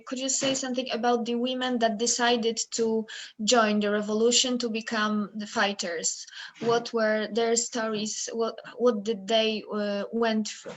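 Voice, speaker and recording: A woman interviewing a guest, asking polite, halting questions with many fillers. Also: a little high, rough recording.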